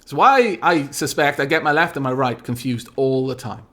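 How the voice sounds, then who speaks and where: Man musing over a game, lively pitch. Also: normal speed. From Wales. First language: Welsh. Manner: rambling, thinking aloud, dry asides. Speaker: let's play streamer. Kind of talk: casual gameplay commentary. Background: faint background music.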